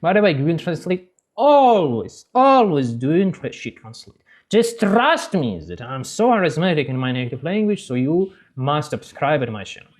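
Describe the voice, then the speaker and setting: A man recaps a game's story in halting, non-native English and keeps wandering off into joking asides. His voice sits a little high for a man and jumps around a lot as he talks. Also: very animated. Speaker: gaming channel host. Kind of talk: game story recap with commentary.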